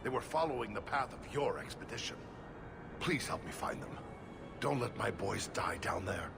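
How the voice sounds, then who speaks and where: An actor playing an indignant, pleading middle-aged dwarf merchant, a father, with a gravelly voice, in a video game. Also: on the low side, expressive, moderate pace, music in the background. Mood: desperate, grieving.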